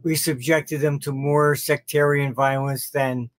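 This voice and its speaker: An older man giving informal expert commentary as an interview guest on a video call, halting yet emphatic and dismissive. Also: on the high side, level tone, no echo. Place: home office, indoors.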